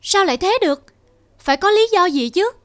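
Earnest, devoted cartoon husband. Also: male voice, extremely high pitch, a little fast, over music. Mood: shocked, hurt. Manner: earnest, expressive. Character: devoted, hard-working husband, earnest and emotional. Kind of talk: cartoon drama acting.

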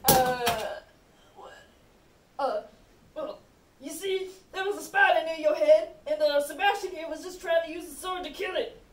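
A woman's voice: sits high, measured pace, long pauses. A young-sounding woman voicing scheming, bumbling comic men in an amateur puppet show, with a hesitant, exaggerated delivery. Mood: sheepish. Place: indoor room with puppet stage. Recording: echoey room, distant mic, faint noise.

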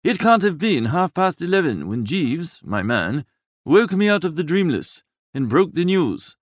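A man's voice: a little high, big pitch swings, measured pace, dry recording, clean studio sound. A man playing a flippant, languid young upper-class idler, narrating a comic audiobook in a wordy, breezy, droll way.

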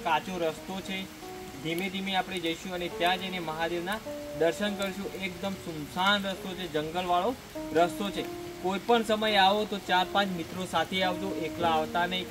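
A young man walking through a monsoon forest, guiding viewers along a trail and often calling them his friends. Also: sits high. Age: young adult. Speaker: travel vlogger. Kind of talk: walking travel vlog. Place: outdoors in monsoon forest by a stream. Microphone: handheld phone camera, close. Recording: outdoor phone audio under loud music. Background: loud background music.

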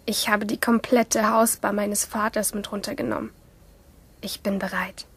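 A woman's voice: medium pitch, little pitch movement, normal speed, fairly bright tone, breathy edge. A woman playing a lonely, curious teenage girl who narrates a radio drama as an intimate inner monologue. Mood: wistful, secretive. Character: lonely, curious teenage girl watching life through screens.

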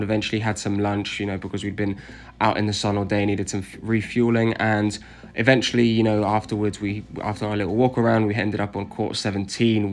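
A young man talking casually as a vlogger over his footage, filling his sentences with easy asides. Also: fairly low pitch. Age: young adult. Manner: chatty, relaxed, many "you know" fillers.

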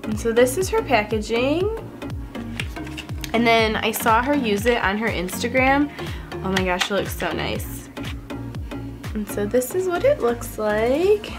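A woman's voice: on the high side, sing-song, unhurried, long pauses, over music. A woman in her twenties, a beauty vlogger chatting casually to the camera in a house hallway, her voice scratchy and stuffy from a cold, laughing often. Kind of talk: casual haul vlog. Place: upstairs hallway of a house, indoors. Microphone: camera-mounted mic, seated close to the camera.

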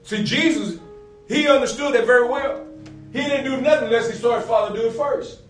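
A man's voice: very high-pitched, fairly quick. A middle-aged man preaching a sermon as a pastor, asking and answering his own questions, repeating phrases and punctuating them with amens. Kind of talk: sermon, preaching with scripture readings. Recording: roomy, distant mic, faint music behind.

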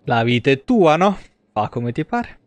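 A man's voice: mid-range voice, very animated, unhurried. A man, giving informal, colloquial live commentary on a video game. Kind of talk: casual live gameplay commentary. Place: small indoor room at a desk. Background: game music.

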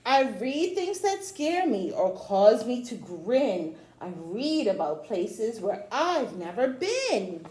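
A middle-aged woman reading a storybook aloud to young children, with chatty asides, in an expressive, encouraging way. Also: on the high side, takes it slowly, hardly any pauses. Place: small room at home, indoors. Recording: echoey small room.

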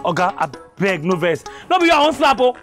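A man's voice: very high voice, animated, fast, fairly bright tone, faint music behind. A man playing a flustered roadside mechanic with a Nigerian accent, talking emphatically and repeating himself in a comic drama. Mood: flustered, protesting. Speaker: actor in a comedy skit. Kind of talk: comic drama acting in Pidgin and Yoruba.

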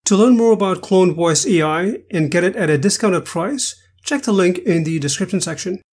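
A man presenting a software tutorial, narrating each step in an instructional way. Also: sits high, animated, normal speed, breathy edge. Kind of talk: scripted screen-capture tutorial narration.

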